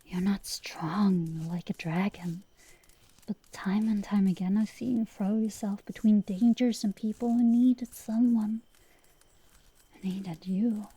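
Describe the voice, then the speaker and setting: A woman playing a brash but secretly tender dragon girl sorceress in an intimate ASMR roleplay, soft and sometimes whispering, teasing and affectionate, close to the mic. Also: mid-range voice, steady tone, takes it slowly, no echo. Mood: tender, sincere. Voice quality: soft, breathy whisper at times.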